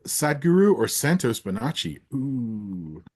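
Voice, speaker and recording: Slightly husky, animated man hosting a quiz. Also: medium pitch, slow pace. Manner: playful, teasing. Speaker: interviewer, host. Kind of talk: rapid either-or quiz in casual chat.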